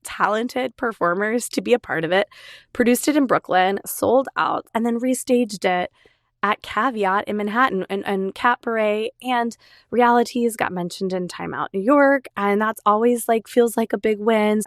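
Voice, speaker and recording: An enthusiastic woman hosts her own podcast, talking chattily straight to her listeners. Her voice is a little raspy from a lingering cold. The sound is close and dry, with no room around it. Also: medium pitch, normal speed, no echo. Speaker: podcast host. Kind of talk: solo podcast monologue.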